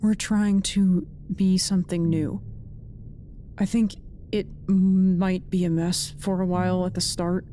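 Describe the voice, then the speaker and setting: A woman acting in an audio drama as a blunt, guarded, quietly caring colleague, speaking plainly and earnestly. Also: lowish voice, flat delivery, measured pace, a little hiss or hum, close to an everyday voice. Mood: earnest, urging.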